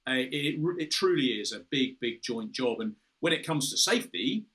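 A man's voice: mid-range voice, expressive, normal speed, a bit bright. A British man in his fifties on a video call, explaining at length as an interview guest, with frequent restarts and "you know". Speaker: guest, former fighter pilot. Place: room with a window and red curtains, indoors.

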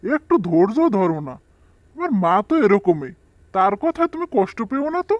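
A man plays a young husband in a family cartoon. His voice is high for a man and swings in pitch as he reasons and coaxes. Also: big pitch swings, normal speed, dry recording, dull audio. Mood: coaxing. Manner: coaxing, reasoning. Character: exasperated but placating young husband. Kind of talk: cartoon dub acting.

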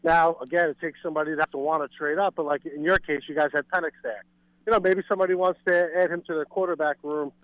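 A man's voice: fairly high pitch, steady tone, quick pace, dry recording. A man calling in to a sports show with casual, explanatory opinions, over a call-in line. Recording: slightly dull call-in line.

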